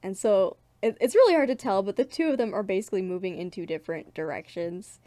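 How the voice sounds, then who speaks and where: A woman's voice: medium pitch, fast talker, mellow, no echo. A woman giving a casual, self-mocking dramatic reading of her comic, with giggly running commentary. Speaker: webcomic creator reading her own comic.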